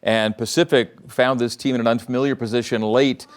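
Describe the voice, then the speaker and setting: Smooth sports show host. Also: male voice, medium pitch, steady tone, fairly quick. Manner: smooth, scripted lead-ins. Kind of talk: broadcast sports interview and narration.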